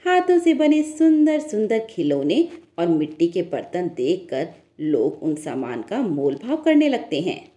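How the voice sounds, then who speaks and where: A woman's voice: lowish voice, sing-song, measured pace, warm tone. A woman narrator telling a scripted moral story in a storytelling style.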